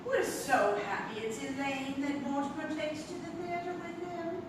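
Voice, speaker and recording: A woman's voice: sits high, steady tone, moderate pace, natural voice. A woman acting in a comic stage play as a sweet, fussy old aunt, genteel and doting. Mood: gracious. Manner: genteel, doting, sing-song. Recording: distant, very echoey theatre recording with hiss.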